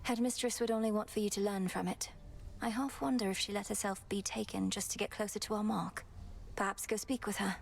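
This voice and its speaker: A woman's voice: mid-range voice, steady tone, vocal fry, natural voice. Game role: woman as dutiful young underworld princess, formal, composed, earnest; faint noise behind. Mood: composed, resolute. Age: young adult. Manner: formal, measured, courteous diction. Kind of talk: video game voice acting.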